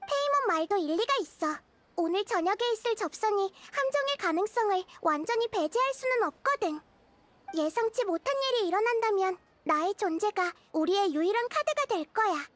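A woman voicing a small, gentle childlike goddess of wisdom in a game, speaking in calm, measured explanations. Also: very high voice. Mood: thoughtful, composed. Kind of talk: game character dialogue. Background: prominent music.

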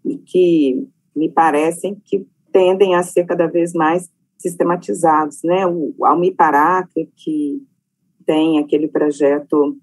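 A middle-aged woman from Brazil speaks on a video call panel from her home study. Her voice sits very low and has a husky edge. She talks hesitantly, pausing and filling gaps as she goes. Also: steady tone, a little mellow, rough recording. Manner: hesitant, many fillers. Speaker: panelist, professor. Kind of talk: online panel talk.

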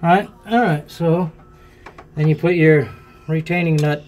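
A man with a fairly high voice talks in a relaxed, folksy way while he works on a boat repair. He thinks aloud between steps, and faint music runs under him. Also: measured pace. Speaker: boat owner showing a repair. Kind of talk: casual hands-on how-to narration. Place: aboard a boat, in the bilge.

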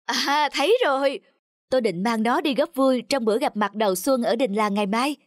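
An actor playing a mild, simple village husband in a folk-tale cartoon. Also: male voice, extremely high pitch, normal speed, no room sound, studio quality. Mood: mild, hesitant. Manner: mild, explaining. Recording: music behind the voice.

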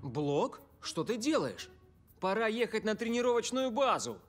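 An actor playing an earnest, persuasive young soccer player in a dubbed cartoon. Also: male voice, sits high, big pitch swings, unhurried, soft background music. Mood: pleading, firm. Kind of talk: cartoon dub dialogue.

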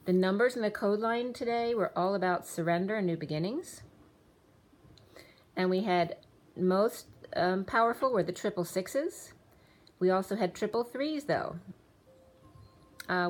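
A woman giving a casual tarot reading, rambling with restarts and going a little hoarse as she loses her voice. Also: mid-range voice, measured pace. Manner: rambling, many restarts and fillers, reads off card names and times. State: losing her voice. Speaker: tarot reader. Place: indoors, room with a table of spread cards.

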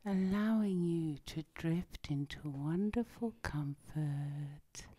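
A woman hypnotherapist leads a sleep session in a low, breathy half-whisper. Her delivery is slow and lulling, in a soft ASMR style meant to soothe. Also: no room sound. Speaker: hypnotherapist, channel host.

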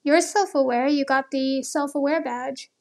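High-pitched woman chatting casually. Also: measured pace, creaky, darkish tone. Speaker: video host. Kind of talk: casual conversation with an AI companion on a phone call.

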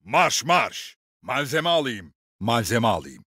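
Game role: gruff male squad leader, seasoned and commanding, very animated delivery, close dry recording. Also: mid-range voice, slow, no echo, full character voice. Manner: authoritative, clipped commands with dry swagger. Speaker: game character voice actor. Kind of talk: video game voice lines, short military barks.

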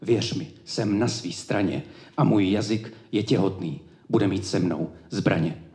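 A man's voice: low voice, mellow. Echoey male slam poet, declamatory. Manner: declamatory, emphatic phrasing with deliberate pauses. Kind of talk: slam poetry performance. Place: indoor cultural evening event, live before an audience. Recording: echoey live room.

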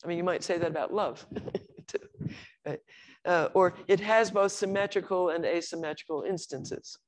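An older woman lecturing on logic and semantics in a measured, explanatory way, with occasional restarts. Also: lowish voice, poor audio. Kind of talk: academic lecture on slides.

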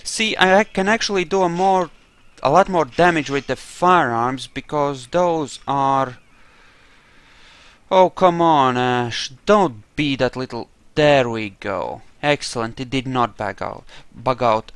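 A man's voice: medium pitch, slow pace, fairly bright tone, clear, resonant tone, no room sound. A man giving casual gameplay commentary, muttering asides to himself as he plays. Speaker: gamer commentating on a playthrough.

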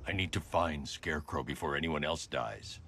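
An actor playing a stoic masked vigilante in a game, terse, grave and commanding. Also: male voice, extremely low pitch, moderate pace, creaky, sharp tone, slight background noise, heavily acted. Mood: grim, terse. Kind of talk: video game drama acting.

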